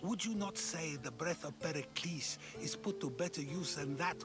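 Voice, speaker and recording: An actor playing a playful, probing philosopher in a video game, eloquent and teasing. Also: male voice, on the high side, moderate pace, natural voice. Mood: probing, satisfied. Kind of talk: cinematic video game acting. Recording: music behind the voice.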